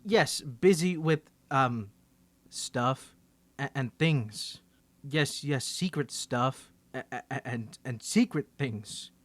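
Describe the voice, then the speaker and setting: A man's voice: on the high side, fairly bright tone, dry recording, full character voice. Man acting a nervous, stammering young superhero in hiding. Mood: evasive, flustered. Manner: stammering, flustered, halting. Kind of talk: ASMR roleplay monologue to the listener.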